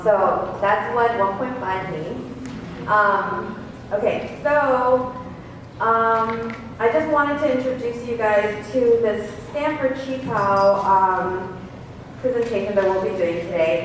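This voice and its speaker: A woman's voice: mid-range voice, takes it slowly. A woman from Korea who moved to the United States as a child, presenting informally at a seminar. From Korea until seventh grade, then the United States. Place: large room at a community seminar. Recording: very echoey room, distant mic, clear noise.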